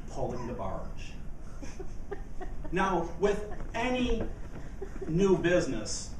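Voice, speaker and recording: High-pitched man telling a tale, echoey room. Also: recorded from a distance. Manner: deliberate, theatrical storyteller with dramatic pauses. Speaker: speech contest speaker. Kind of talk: humorous tall-tale speech to a live audience. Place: indoor meeting room before an audience. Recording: echoey room from afar, faint noise.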